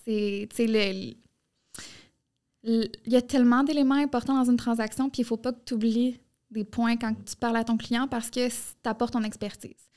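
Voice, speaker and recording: Young Québécois woman, flat higher voice. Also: moderate pace. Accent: Québécois French. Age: young adult. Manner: talks in run-on bursts, frequent "tu sais". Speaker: podcast guest, marketing entrepreneur. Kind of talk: casual interview chat.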